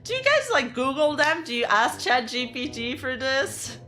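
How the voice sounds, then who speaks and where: A hoarse woman streamer chatting casually and rambling with her viewers, laughing easily. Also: high-pitched, big pitch swings, moderate pace, pushed and bright, soft background music. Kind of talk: casual livestream chat with viewers.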